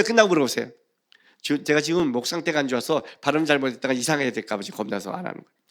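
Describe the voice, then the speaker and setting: Animated male preacher, widely swinging pitch. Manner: emphatic, questioning the congregation, swinging between loud stress and hushed phrases. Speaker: pastor. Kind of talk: sermon with scripture reading.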